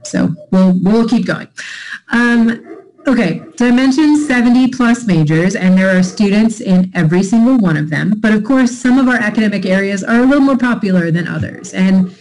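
A woman's voice: on the low side, a little mellow, poor audio. A middle-aged woman giving a conversational college information presentation from home over a video call, explaining programs with occasional fillers. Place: home room. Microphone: laptop webcam on a video call.

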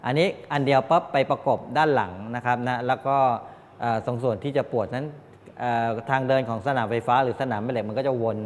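An older man giving a classroom lecture as a teacher, explaining in a halting way with frequent polite particles. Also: fairly even intonation. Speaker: technical college lecturer. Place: medium-sized indoor classroom with students. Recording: reverberant room, clear background noise, low rumble.

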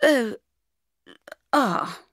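A woman's voice: a little high, lively intonation, very slow, a bit bright, dry recording, studio quality, voice pushed up, exaggerated voice. Woman as a posh, awkward schoolboy. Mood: awkward, eager. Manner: hesitant, stammering, polite.

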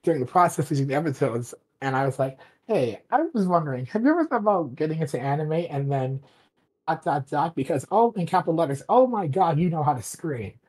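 Husky-voiced podcast guest, gushing and rambling. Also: medium pitch, lively intonation, brisk, a little mellow, low-quality recording. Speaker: audio drama director, podcast guest. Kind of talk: casual enthusiastic chat.

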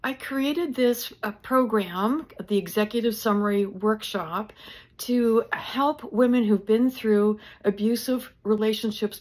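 An older woman giving a recorded introductory address as a nonprofit founder, speaking in an earnest, measured, halting manner. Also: mid-range voice, darkish tone.

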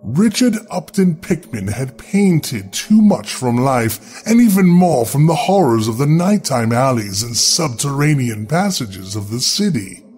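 A man narrating a gothic horror story in a theatrical, deliberate manner. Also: mid-range voice, very animated, a little mellow.

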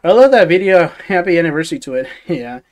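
A young man talks to his livestream audience from a small dim bedroom, his voice sitting a little higher than most men's. He rambles, restarts sentences often and chuckles between thoughts. The sound comes through a close headset mic. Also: expressive, fast. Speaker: livestream host. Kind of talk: casual livestream chat reading viewer comments. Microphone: gaming headset.

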